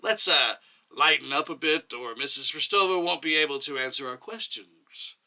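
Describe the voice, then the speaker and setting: A man's voice: fairly high pitch, moderate pace, sharp tone. A man voicing a stern, sarcastic commission chairman who questions pointedly and overbearingly in a short story reading. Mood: dryly conciliatory.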